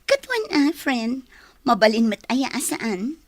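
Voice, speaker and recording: A woman's voice: a little high, big pitch swings, unhurried, dry recording. A woman playing a supportive, encouraging young friend in a radio drama, speaking expressively. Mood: welcoming. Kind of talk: Ilocano radio drama acting.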